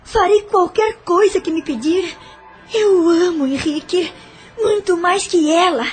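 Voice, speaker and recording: An actress plays a devoted, insistent woman in an audio drama. Her voice sits high, and she pleads and presses her case. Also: a little slow, soft background music. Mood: insistent pleading. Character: insistent, devoted woman pursuing a grieving widower.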